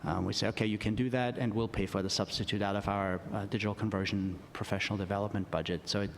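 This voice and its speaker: A school administrator answering a question on a conference panel, relaxed and full of fillers. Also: male voice, fast talker. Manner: relaxed, many fillers and restarts. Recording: reverberant conference hall, faint rumble.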